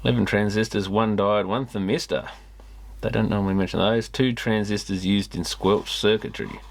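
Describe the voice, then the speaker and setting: Low-pitched man thinking aloud. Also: steady tone, moderate pace, vocal fry. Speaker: host of an electronics repair video channel. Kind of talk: casual commentary mixed with reading a manual aloud.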